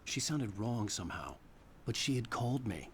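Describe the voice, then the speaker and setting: A man's voice: lowish voice, very animated, moderate pace, slight background noise. A man voicing a brooding, haunted writer who narrates his ordeal in a video game thriller. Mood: brooding, tense. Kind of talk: scripted first-person thriller narration.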